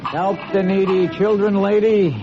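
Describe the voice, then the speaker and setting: An actor playing a plaintive male street charity collector in a radio drama, calling out in drawn-out, repeated appeals. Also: sits high, level tone, over music, natural voice. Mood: pleading, grateful. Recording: dull recording with street noise.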